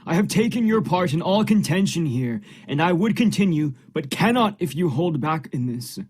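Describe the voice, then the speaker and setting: A man acting a forceful, suspicious Puritan husband in a radio play, insistent and formal in archaic language. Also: a little high, animated, moderate pace, husky. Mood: accusing, insistent. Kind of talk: period stage drama acting, radio play.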